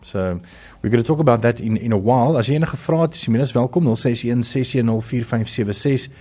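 Man, South African accent, radio studio host, rambling, fillers, dark tone. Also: mid-range voice, fast, slightly rough. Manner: chatty, rambling with many fillers. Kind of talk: bilingual radio talk show hosting.

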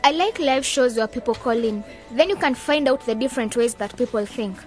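Radio drama casting: a woman as earnest young female novice, very high voice, practical, music underneath. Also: brisk, lightly acted. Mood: keen. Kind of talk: scripted educational radio drama.

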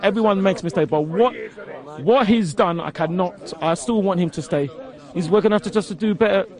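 Rapid, insistent man with Nigerian accent. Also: high voice, darkish tone, some chatter behind. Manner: insistent, repeats himself when provoked. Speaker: football fan being interviewed. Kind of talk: street interview.

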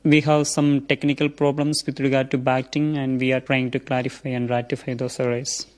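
A man's voice: mid-range voice, flat delivery, brisk. A young man from India with an Indian accent, a seminarian answering interview questions in earnest, formal phrasing.

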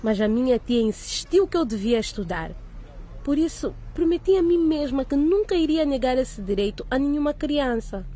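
An actress playing a firm, caring middle-aged headmistress in a radio drama, speaking in an earnest, measured way. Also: female voice, high-pitched, animated, warm tone. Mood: kind, warm.

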